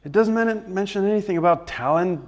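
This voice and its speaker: A man's voice: sits high, fairly quick, natural voice. A man playing an earnest, organised, nerdy young bandmate in a sitcom, with a pedantic way of announcing things.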